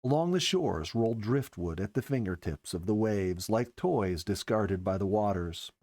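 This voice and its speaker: A man reading a story as a scripted narration, in an expressive storyteller's manner. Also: lowish voice, normal speed, dry recording, studio quality. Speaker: storyteller, show regular.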